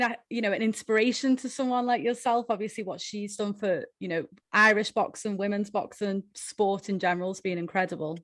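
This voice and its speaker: A woman hosting a casual interview who chats and leans on tag questions. Her pitch sits in the middle. The recording is close and dry. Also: a bit bright, dry recording.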